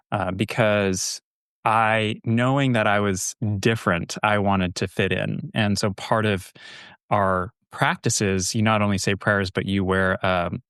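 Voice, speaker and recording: A man's voice: on the low side, a bit bright, smooth, no room sound, clean studio sound. A young man from California, a podcast guest, speaking reflectively with many fillers and restarts. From California, USA. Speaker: podcast guest, holistic health coach. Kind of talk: casual interview conversation.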